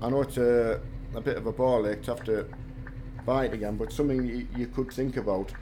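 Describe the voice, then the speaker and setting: Croaky man chatting over engine noise. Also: mid-range voice, fairly even intonation, brisk, dark tone. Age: middle-aged. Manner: chatty, friendly, answers chat viewers one by one. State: slightly croaky voice. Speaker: streamer greeting chat. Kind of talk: casual live-stream chat while gaming.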